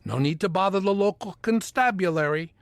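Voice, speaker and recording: A man's voice: sits high, brisk, no echo. A man playing a bossy retired mobster, precise, sardonic and menacingly polite, in a comedy audio drama. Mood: guarded. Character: bossy retired Italian-American mobster, exasperated and formal.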